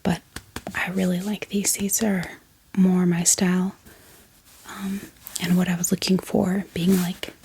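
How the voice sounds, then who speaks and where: A woman speaking in a breathy whisper, gently and unhurriedly, in a casual ASMR show-and-tell style. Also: fairly low pitch, level tone. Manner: gentle, unhurried, hesitant pauses. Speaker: ASMR book haul vlogger. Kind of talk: soft-spoken whispered ASMR, casual and unscripted.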